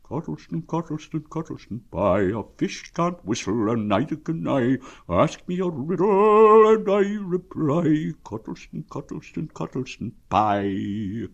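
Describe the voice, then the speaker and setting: A man's voice: high voice, huge pitch swings, very slow, a little mellow, muffled recording, voice pushed up, full character voice. A man voicing a friendly, simple-minded storybook bear, earnest and a little puzzled. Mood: simple, earnest reciting.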